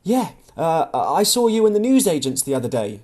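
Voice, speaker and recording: A man's voice: fairly high pitch, very animated, normal speed, warm tone, voice pushed up. A man voicing a playful, nervous young woman in love, with a questioning, teasing delivery, in an audiobook. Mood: nervous, eager. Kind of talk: audiobook character dialogue.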